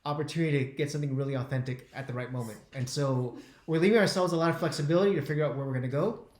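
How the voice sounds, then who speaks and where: A man's voice: a little high, a little mellow, roomy sound. A man in his forties co-hosting a casual live stream, often repeating words as he works out what to say. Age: 40s. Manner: halting, repeats words while finding his thought. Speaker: travel channel co-host.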